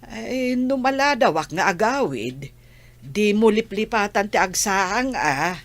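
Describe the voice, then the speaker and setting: A woman's voice: medium pitch, expressive, slow pace. A woman acting a bossy, fussing village auntie in an Ilocano radio drama, with a Filipino accent.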